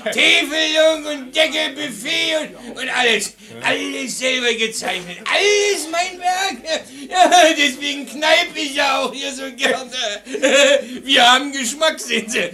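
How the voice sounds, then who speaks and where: A man in a radio play, playing a boastful, boisterous tipsy tavern regular with a loud, self-satisfied manner. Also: extremely high pitch, slow pace, hardly any pauses, crowd noise, heavily acted. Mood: boastful, amused. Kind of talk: German radio play acting.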